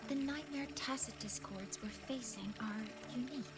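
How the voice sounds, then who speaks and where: A woman's voice: on the high side, normal speed, close to an everyday voice. A woman playing a polite, earnest young academy researcher in a video game, formal and considerate in manner. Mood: serious. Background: loud game music.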